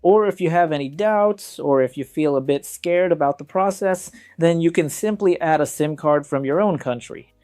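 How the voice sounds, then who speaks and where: A man's voice: on the high side, normal speed, hardly any pauses, a little mellow, soft background music. A man presenting a casual hands-on product review, talking conversationally and directly to viewers.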